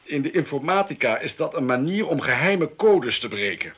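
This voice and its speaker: An actor playing a flippant, self-centred, well-off man in a radio play, breezy and callous, with a husky voice. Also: a little high, big pitch swings, normal speed, a bit bright, full character voice. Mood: glib, distracted. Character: flippant, callous, well-off male computer expert, divorced father. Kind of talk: Dutch radio play acting.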